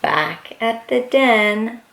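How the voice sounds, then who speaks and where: A woman reading a picture book aloud to young children as a host, with excited, encouraging exclamations. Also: a little high, very slow, slight background noise.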